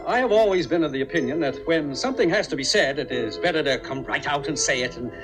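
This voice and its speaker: An actor playing a blustering middle-aged office boss in a 1950s radio drama, formal and pompous but hesitant under pressure. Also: male voice, a little high, expressive, quick pace, over music, close to an everyday voice. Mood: awkward, stunned.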